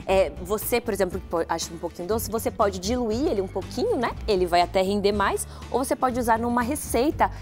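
A Brazilian woman in her thirties speaks at a medium pitch. She explains things conversationally as a guest cook on a television programme, with faint music underneath. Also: lively intonation, brisk, few pauses. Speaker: guest company representative and cook. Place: TV kitchen studio.

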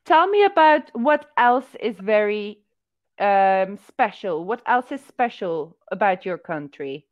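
A woman's voice: medium pitch, animated, slow pace. A young woman from Africa, tutoring English on a video call and patiently asking simple questions through a headset. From South Africa. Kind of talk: casual video-call conversation practice. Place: indoor room. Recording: muffled video-call audio.

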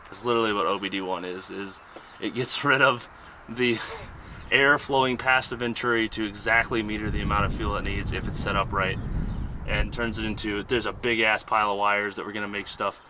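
A man's voice: fairly low pitch, steady tone, brisk, background noise. A man hosting, casually explaining car repair step by step in a laid-back, rambling way, outdoors by a vehicle. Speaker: host and mechanic.

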